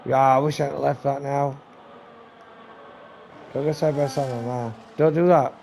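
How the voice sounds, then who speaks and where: A man giving live commentary on a sim race, talking to viewers and losing his voice, with race car engines loud behind him. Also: measured pace, gravelly. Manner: excitable, talks to viewers, rising to high bursts on action. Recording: noisy stream with engine sound. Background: race car engine noise.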